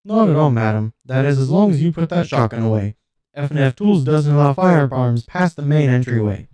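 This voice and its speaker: Male narrator as old robot butler, mellow tone, smooth and resonant, formal, servile, apologetic, lonely, storybook character. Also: mid-range voice, expressive, a little fast, no room sound, natural voice, rough recording. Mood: courteous, pleased.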